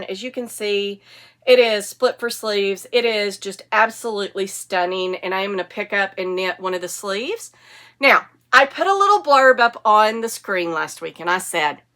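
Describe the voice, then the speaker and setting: A woman's voice: medium pitch, bright, forceful tone. A woman, sniffly from a cold, hosting a casual knitting podcast in a chatty, enthusiastic, rambling way. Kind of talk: casual show-and-tell chat.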